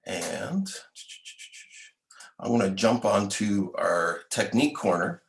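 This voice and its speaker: A man with a very low voice hosts a developer livestream and runs through product updates in a relaxed, loose way. He talks in a casual tone over a video call. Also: slow pace, creaky. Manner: casual, loose with fillers and restarts. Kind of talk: product update and show hosting.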